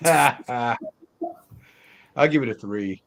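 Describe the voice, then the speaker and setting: A middle-aged man with a medium-pitched voice leads a casual film review panel. He talks loosely and conversationally, padding his opinions with small verbal tags as he goes. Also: drawn-out words, vocal fry, crowd noise. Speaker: host of a movie review show. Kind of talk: casual movie discussion.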